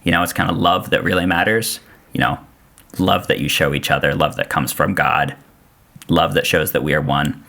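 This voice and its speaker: A man's voice: extremely low pitch, moderate pace, gravelly, bright, forceful tone. A man from Austria whose native language is German, chatting casually as a podcast guest with a dry, self-deprecating manner. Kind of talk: casual conversation.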